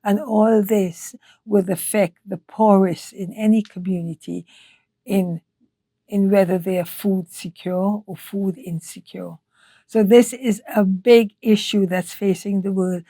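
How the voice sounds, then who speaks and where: An older woman from Trinidad and Tobago, a scientist answering interview questions in a measured way, often restarting her phrases. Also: mid-range voice, steady tone, mellow, no room sound. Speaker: guest, nutrition scientist. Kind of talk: podcast interview.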